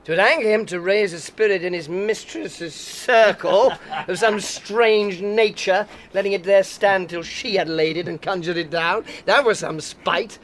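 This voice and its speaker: An actor playing a bawdy, playful young gallant in a Shakespearean audio play, teasing theatrically through the verse. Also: male voice, high voice, measured pace, few pauses, fairly bright tone, a little hiss or hum. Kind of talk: Shakespearean radio play acting.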